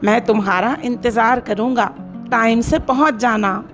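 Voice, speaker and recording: A woman voicing a commanding older male contractor in a cartoon story, slow and lordly. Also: on the high side, steady tone, close to an everyday voice. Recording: cartoon voice-over with music.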